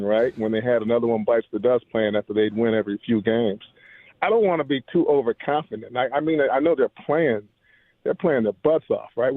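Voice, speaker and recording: A man's voice: mid-range voice, no room sound. Male caller, cautious longtime fan, from Detroit area, phone-line sound. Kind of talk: sports radio call-in.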